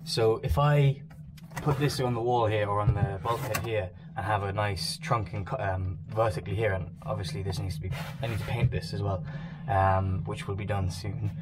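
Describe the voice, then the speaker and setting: Low-voiced man explaining as he works, noisy background. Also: fairly even intonation, normal speed. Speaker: boat restorer presenting a vlog. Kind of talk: casual explanatory vlog talk while working. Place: small wooden boat cabin, indoors.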